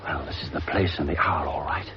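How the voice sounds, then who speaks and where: An actor playing a curious, cultivated gentleman adventurer with a wry, understated manner in a 1950s British radio drama. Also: extremely low pitch, fairly quick. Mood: hushed, curious. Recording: muffled, noisy vintage radio recording.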